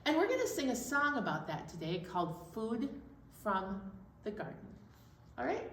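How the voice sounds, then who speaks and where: A woman presenting a children's storytime, explaining things simply and in an animated way, with sing-along songs. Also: fairly high pitch, normal speed. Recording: roomy, distant mic, some background noise.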